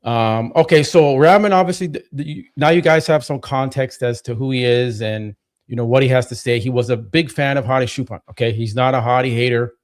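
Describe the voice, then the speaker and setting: A man's voice: medium pitch, fairly quick. Chatty man hosting a live stream. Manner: relaxed, chatty, lots of "you know" and "right?". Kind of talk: casual live-stream chat.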